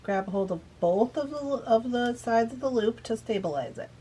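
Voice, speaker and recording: A woman's voice: a little high, animated, normal speed, dark tone, a little hiss or hum. A woman hosting a beading tutorial, explaining each step in a chatty, easygoing way while she works. She is a bit sniffly. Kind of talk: casual step-by-step craft demonstration.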